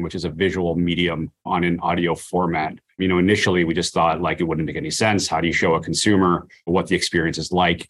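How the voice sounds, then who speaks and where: Very deep-voiced man explaining his work. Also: a bit bright. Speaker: corporate marketing executive being interviewed. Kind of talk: explanatory interview talk.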